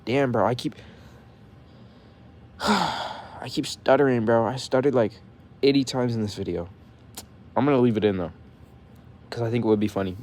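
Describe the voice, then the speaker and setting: A man's voice: medium pitch, normal speed, pauses often, a little mellow. A young man ranting casually to his viewers, profane and heated, saying bro constantly and stuttering when he gets mad. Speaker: vlogger.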